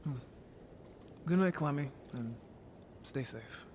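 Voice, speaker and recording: A man playing a shy, curious and anxious young merfolk in an audio roleplay drama, with a soft, hesitant delivery. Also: fairly high pitch, slow, slight background noise. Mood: subdued, gentle.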